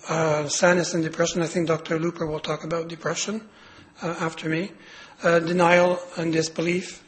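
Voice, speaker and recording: A man with a raspy voice, hoarse from a cold, giving a clinical lecture with many pauses and fillers. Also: on the high side, a bit bright, rough recording. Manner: hesitant, many fillers and restarts. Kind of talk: medical lecture.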